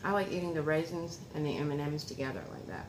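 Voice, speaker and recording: Low-voiced woman chatting at home. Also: fast talker. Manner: homey, talks to viewers and son while unwrapping food. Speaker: food reviewer vlogger. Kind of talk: casual chatty food tasting. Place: home dining room, indoors. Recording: echoey room with clear background noise.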